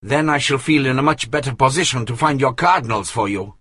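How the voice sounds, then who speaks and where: A confident young Italian man voiced by a man with an Italian accent, speaking in a lofty, authoritative tone. His voice is raised higher than the reader's own and sounds husky. The recording is dry and close. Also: brisk, a bit bright, dry recording. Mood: firm. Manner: authoritative, high-toned.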